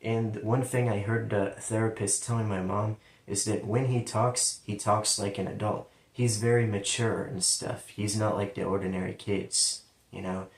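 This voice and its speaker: A man's voice: fairly low pitch, flat delivery, normal speed. A man with a central Ohio accent, sometimes saying L as a W, telling his life story in a casual, rambling monologue. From London, Ohio, USA. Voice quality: sometimes turns L into a W sound. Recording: roomy, distant from the mic.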